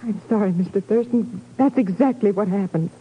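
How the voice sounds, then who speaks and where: A woman playing a distraught, earnest new widow, speaking haltingly in a 1950s radio drama. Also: mid-range voice, slightly rough, natural voice. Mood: distraught, bewildered. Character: distraught, bewildered newly widowed young wife. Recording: tinny narrow old radio recording.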